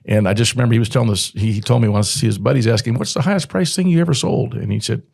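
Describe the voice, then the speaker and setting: A man's voice: mid-range voice, quick pace, gravelly, a little mellow. A man talking casually as a podcast guest, in a folksy, plainspoken way. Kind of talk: casual podcast conversation, anecdotes.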